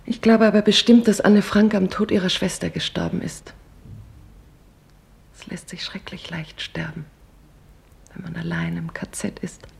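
An actress playing a weary, sombre survivor of a camp, giving halting, grave testimony in a breathy, husky German radio drama monologue. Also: female voice, mid-range voice, close to an everyday voice. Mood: weary, sorrowful. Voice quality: breathy, husky, cracking into whispers.

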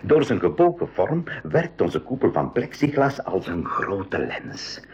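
An actor playing a practical, encouraging professor uncle in a 1980s school radio play, explaining emphatically with a Belgian Dutch accent. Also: male voice, sits low, very animated, measured pace, darkish tone, slightly rough, exaggerated voice. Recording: muffled 1980s radio recording.

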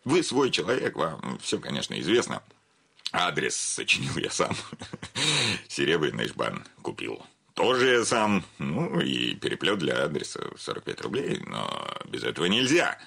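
A self-satisfied bank chairman, holds forth with florid pomp. His very deep, gravelly voice has a forceful edge, and he draws words out theatrically as in a radio play. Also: huge pitch swings, measured pace, exaggerated voice. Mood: self-important.

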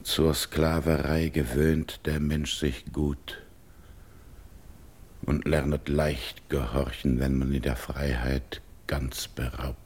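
A brooding, commanding king in a classical radio drama. His voice sits very low and he declaims verse at a slow pace, full of wounded anger. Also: flat delivery, a little mellow, slightly rough, full character voice. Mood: bitter brooding. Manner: declamatory, measured verse delivery.

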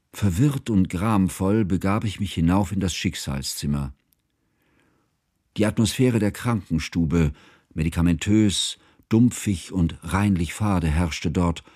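Narrator, radio drama: grave scholarly teacher, deep dark tone, measured, formal and mournful. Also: male voice, breathy edge, no echo, clean studio sound. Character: grave, sorrowful, learned male schoolteacher recounting his friend's tragedy. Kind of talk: literary first-person narration in radio drama.

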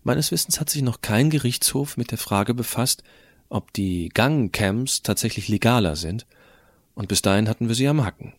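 A man's voice: mid-range voice, lively intonation, moderate pace, dry recording. A man playing a cheeky, rebellious, tech-savvy teenage schoolboy who narrates an audio drama, explaining things to the listener in a colloquial, wry way. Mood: wry, explanatory.

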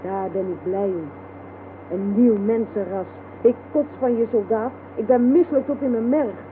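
A woman's voice: fairly high pitch, moderate pace, natural voice. An actress playing a bitter, defiant woman in a radio play, speaking with mocking scorn. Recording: noisy, thin phone-like old radio recording.

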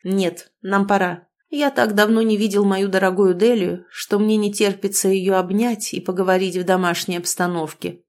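A woman's voice: mid-range voice, steady tone, moderate pace, hardly any pauses, darkish tone, lightly acted. A woman voicing a stout middle-aged uncle who speaks in an oily, honeyed way.

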